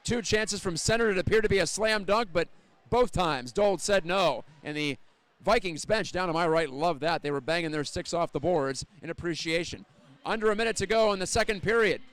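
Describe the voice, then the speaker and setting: A man doing live audio play-by-play of an ice hockey game as the announcer, describing the action in a measured, detailed way that lifts with the play. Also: sits high, a bit bright, dry recording. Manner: measured, descriptive, lifting on scoring chances. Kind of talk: live ice hockey radio-style commentary.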